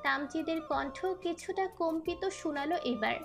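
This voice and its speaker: একজন নারী কথক লিখিত গল্প পাঠ করে শোনাচ্ছেন।. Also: female voice, very high-pitched, moderate pace, gravelly. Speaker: story narrator. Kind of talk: scripted story narration. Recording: background music under narration. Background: music.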